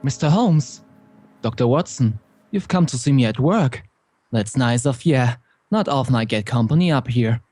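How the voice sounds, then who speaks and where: Radio villain , male actor: gloating rustic postman, deranged, melodramatic, middling pitch. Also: animated, moderate pace, a little mellow, no room sound, lightly acted. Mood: folksy, sly. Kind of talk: old-time radio drama acting.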